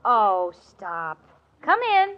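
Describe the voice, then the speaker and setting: An actress playing a sensible, sarcastic, exasperated young American woman, brisk and wry, in a 1940s radio comedy. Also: mid-range voice, wildly swooping pitch, heavily acted. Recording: muffled noisy old radio recording.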